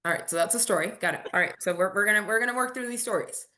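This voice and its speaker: A woman in her forties whose first language is Spanish leads a coaching workshop for entrepreneurs. She speaks with drive in an ordinary middle register and often slows down to spell out words letter by letter. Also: mid-range voice, sharp tone. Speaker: workshop host.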